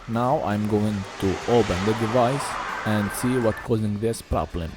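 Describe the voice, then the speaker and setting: A man giving an informal voice-over for a phone repair, speaking halting, non-native English. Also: fairly low pitch, a little mellow. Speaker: phone repair video host.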